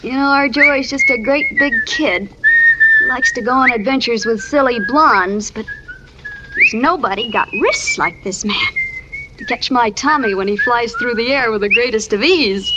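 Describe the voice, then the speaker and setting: A woman's voice: a little high, huge pitch swings, moderate pace. An actress playing an affectionate, fussing young woman, coaxing and bossy by turns, in 1940s radio drama style. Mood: coaxing, fond. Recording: muffled, faintly noisy old radio recording.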